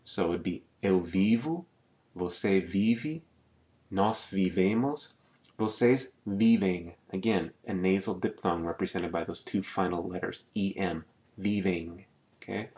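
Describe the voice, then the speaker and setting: A man teaching a language lesson over slides. He talks patiently, says words slowly and keeps checking in with "okay?". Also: low-pitched, a little mellow, highs cut off.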